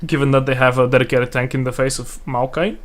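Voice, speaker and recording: A man's voice: medium pitch, little pitch movement, fast talker. A man giving casual analysis as an esports commentator, often stammering and repeating words as he reasons aloud. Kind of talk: casual live game commentary.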